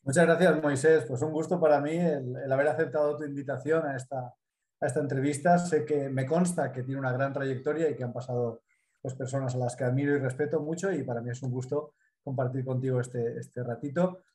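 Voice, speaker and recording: A man's voice: mid-range voice, fairly even intonation, brisk, a little mellow. Spanish man explaining in a roomy echo. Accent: Spanish from Spain. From Spain, Mediterranean coast. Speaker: business consultant guest. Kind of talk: live interview.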